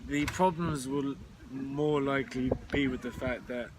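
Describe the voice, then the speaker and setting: Slow, rambling man of thirty. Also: mid-range voice, steady tone, background noise. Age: 30s. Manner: musing, rambling. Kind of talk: casual chat.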